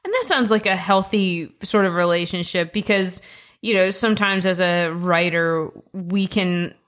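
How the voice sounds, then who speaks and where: A woman's voice: on the low side, measured pace, a bit bright. Chatty woman radio co-host. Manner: chatty, conversational, with many "you know"s. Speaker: co-host, publisher. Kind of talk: radio interview.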